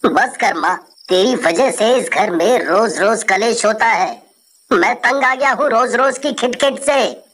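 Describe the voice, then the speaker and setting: A woman's voice: a little high, a little slow, a bit bright, a little hiss or hum, voice pushed down. A woman voicing a callous, exasperated adult son and husband who talks curtly, in a storybook tale. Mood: fed up, stern. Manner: curt, exasperated.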